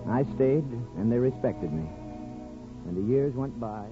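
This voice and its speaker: A man's voice: medium pitch, lively intonation, measured pace, natural voice. An actor playing a weary, plain-spoken small-town barber and father, rueful and wry, acting and narrating in a 1950s radio drama. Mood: wry, reflective. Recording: phone-like old radio broadcast with music.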